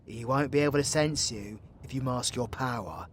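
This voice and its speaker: A man's voice: mid-range voice, moderate pace, slight background noise, lightly acted. A British man playing a cocky, foul-mouthed, joking young warlock in an audio roleplay, with a cheeky, slangy delivery. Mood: serious, insistent.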